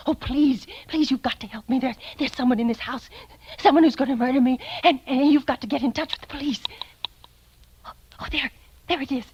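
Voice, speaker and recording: A very high-pitched, neurotic wife in a vintage radio thriller. She is imperious and frightened, stammering breathlessly as she pleads. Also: brisk, darkish tone, husky, dull audio. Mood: hushed terror. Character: frightened, demanding invalid wife alone at night. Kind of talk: 1940s radio thriller acting. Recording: vintage radio drama recording.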